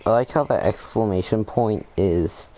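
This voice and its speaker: A man's voice: fairly low pitch, moderate pace, mellow, rough recording. A man giving casual, offhand commentary while playing a video game, mumbling his asides.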